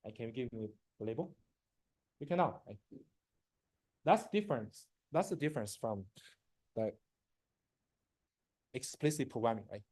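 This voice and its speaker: Brief: man, 40s, native Chinese speaker, university lecturer; warm, relaxed voice, dry close sound, halting delivery with lots of "uh" and "right?". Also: mid-range voice, no room sound. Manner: halting, many fillers and restarts, asks "right?" often; frequent throat clearing. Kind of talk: classroom lecture.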